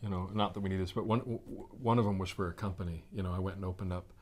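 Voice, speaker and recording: A man's voice: low voice, fairly even intonation, very fast, darkish tone. An American man in his forties giving informal, emphatic answers as an interview guest. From United States. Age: 40s. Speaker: interview guest, network marketing executive.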